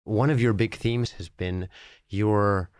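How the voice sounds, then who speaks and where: A man's voice: lowish voice, fairly even intonation, slow, a little mellow, soft background music. A man interviewing a guest at a conference, building long questions with restarts. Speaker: interviewer, senior producer. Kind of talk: conversational interview.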